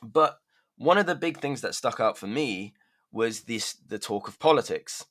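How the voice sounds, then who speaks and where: A man's voice: mid-range voice, animated, normal speed, fairly bright tone. A man co-hosting a fintech news podcast, talking casually and often hedging with "sort of". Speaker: podcast co-host.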